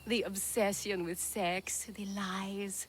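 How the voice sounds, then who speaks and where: A woman's voice: medium pitch, level tone, takes it slowly, slight background noise, close to an everyday voice. An actress playing a vain, ambitious young bride-to-be in a video game, smug and dismissive as she talks. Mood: scornful, smug. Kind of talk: video game cutscene acting.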